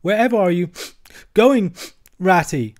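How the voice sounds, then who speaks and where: A man voicing a small, timid, homesick male mole who sobs and pleads in a high, broken voice. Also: expressive, measured pace, a little mellow. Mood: pleading.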